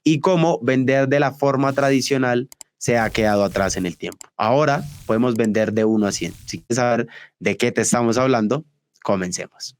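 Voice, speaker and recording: A man's voice: mid-range voice, moderate pace. Upbeat male podcast host. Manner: upbeat, keeps saying "súper" between the guest's answers. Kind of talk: podcast interview hosting.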